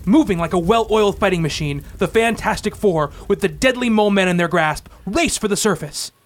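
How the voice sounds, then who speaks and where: A man's voice: high voice, brisk, no room sound. A man narrating a comic-book radio play in a theatrical, bombastic style.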